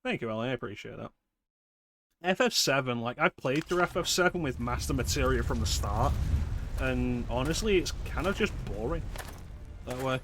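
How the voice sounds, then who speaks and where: A man's voice: mid-range voice, expressive, moderate pace, noisy background. A man streaming a video game, chatting wryly and answering his viewers. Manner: wry, chatty, answers chat. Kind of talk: casual chat while playing. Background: game music.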